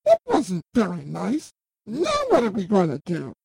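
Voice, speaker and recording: A woman playing a whiny, reluctant young sister with a husky, creaky voice in a fantasy audio drama. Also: medium pitch, huge pitch swings, unhurried, dark tone, full character voice. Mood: whining.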